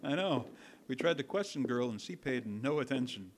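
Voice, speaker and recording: A man's voice: medium pitch, expressive, normal speed, lightly acted. A man playing a polite, curious magazine reporter in a radio drama, affably asking questions.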